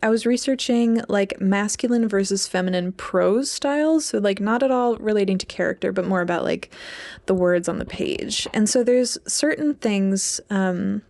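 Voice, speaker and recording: A young adult woman talks casually as a podcast co-host, with noticeable vocal fry. Her pitch sits in an ordinary female range, and she phrases things informally, hedging as she goes. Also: moderate pace, darkish tone. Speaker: podcast co-host and PhD student.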